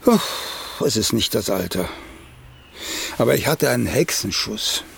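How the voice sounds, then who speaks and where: An actor in a German radio play voicing a vain, needling elderly playwright who speaks in a pompous, deliberate way. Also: male voice, medium pitch, expressive, vocal fry, a bit bright, a little hiss or hum, full character voice. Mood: courtly, vain. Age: older. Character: vain, cantankerous elderly once-celebrated playwright.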